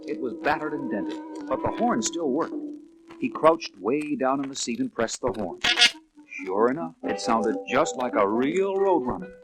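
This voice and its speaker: Animated male children's story narrator. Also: mid-range voice, measured pace, over loud music, poor audio.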